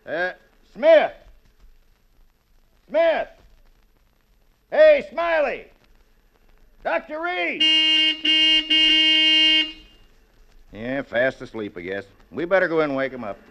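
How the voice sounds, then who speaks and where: An actor playing a steady, practical man who calls out loudly and calms others, in 1940s radio acting. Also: high-pitched, takes it slowly, gravelly, long pauses, very noisy, exaggerated voice. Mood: calling out. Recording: muffled old 1940s radio recording.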